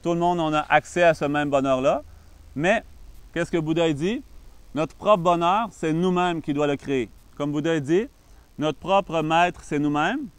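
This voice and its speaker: Calm Québécois man, smooth clear voice. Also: on the high side, dry recording. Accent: Québécois French. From Canada. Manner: calm, patient explaining with frequent pauses. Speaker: Buddhist monk and meditation teacher. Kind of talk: introductory talk on meditation.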